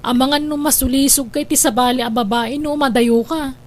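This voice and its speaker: A woman's voice: high voice, fairly even intonation, fairly quick, lightly acted. A woman playing an insistent, coaxing older sister with schemes in a radio drama. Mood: scheming. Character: pushy, scheming married older sister urging brother abroad. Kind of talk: Ilocano radio drama acting.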